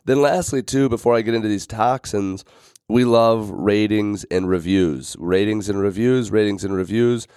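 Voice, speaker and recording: Low, dry-voiced podcast host, slightly raspy. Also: male voice, moderate pace, darkish tone, dry recording. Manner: rambling, many fillers and restarts. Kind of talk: casual podcast monologue.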